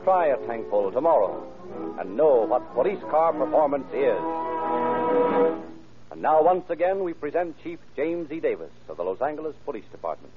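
A man announces in classic 1930s radio style. His scripted delivery is emphatic and declamatory, at a medium pitch. The recording is thin and tinny, with clear music. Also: very animated, moderate pace. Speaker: announcer and narrator.

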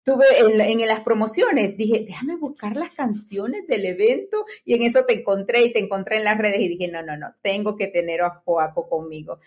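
This is a woman hosting a podcast about personal growth, interviewing a guest. Her voice sits at an ordinary pitch for a woman. She talks in an effusive, enthusiastic way and praises her guest warmly. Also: animated, moderate pace, few pauses. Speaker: podcast host.

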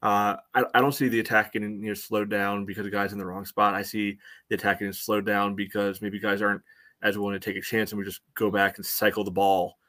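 A man co-hosting a soccer podcast, giving casual analysis in a halting way, peppered with "you know". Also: lowish voice, flat delivery, fairly bright tone, no echo. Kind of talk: casual sports analysis chat.

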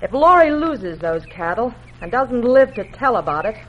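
A woman's voice: fairly high pitch, big pitch swings, normal speed. A woman playing a greedy, scheming cowgirl in an old radio western. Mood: coldly scheming. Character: scheming, greedy young cowgirl cousin, villainess. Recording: muffled, noisy old radio recording.

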